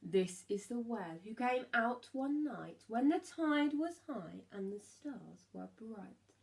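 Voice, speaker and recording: Slow-paced woman reading a rhyming storybook. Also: fairly high pitch, gravelly, low-quality recording. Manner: expressive, emphatic on rhymes and key words. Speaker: primary school teacher reading a story. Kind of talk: children's storybook narration in rhyme.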